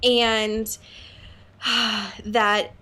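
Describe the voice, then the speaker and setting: A woman's voice: a little high, little pitch movement, very slow, bright, forceful tone. A young adult woman co-hosting a podcast in casual, comedic chat, with a jokey, playful, slangy manner and easy swearing. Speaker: podcast co-host.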